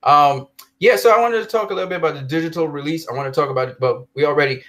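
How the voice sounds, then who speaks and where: A man's voice: a little high, racing, a bit bright. A man hosting a casual chat about films, speaking with enthusiastic emphasis.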